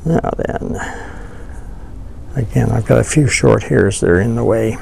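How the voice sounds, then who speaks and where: A man's voice: on the low side, measured pace, gravelly, a little hiss or hum. Older guy showing how to tie a fly, easygoing and chatty, close lapel mic in a studio. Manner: relaxed, folksy, thinks aloud while working. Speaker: fly tying demonstrator. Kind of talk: hands-on tutorial. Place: studio with dark backdrop, seated at table.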